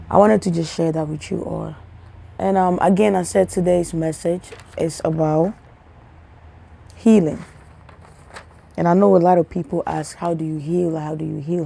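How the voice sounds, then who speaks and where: A woman from Africa, a spiritual healer, talks casually to her audience in a rambling way, repeating words and often dropping into hushed tones. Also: on the low side, vocal fry, breathy edge. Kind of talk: casual spiritual talk to camera.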